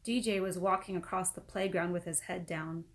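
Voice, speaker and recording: A woman reading a children's book aloud as an expressive storyteller. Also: on the low side, normal speed. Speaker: storybook reader.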